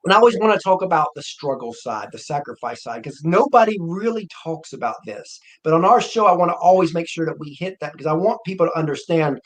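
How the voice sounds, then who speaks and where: A man's voice: on the high side, lively intonation, fast, few pauses. A man hosting an interview show, asking long, earnest questions in a casual tone. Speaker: show host.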